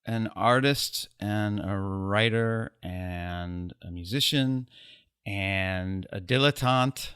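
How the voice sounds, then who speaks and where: A man from the United States, a guest photographer in a casual interview, speaking reflectively with wry, self-deprecating humor and pauses filled with ums. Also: on the low side, a bit bright, clean tone.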